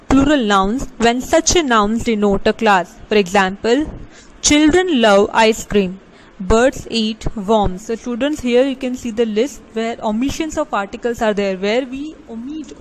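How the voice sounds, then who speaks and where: Woman teaching grammar slowly and deliberately. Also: on the high side, slight background noise, rough recording. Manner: slow, deliberate reading of examples with frequent restarts. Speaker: English teacher. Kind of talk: grammar lesson, reading rules then explaining.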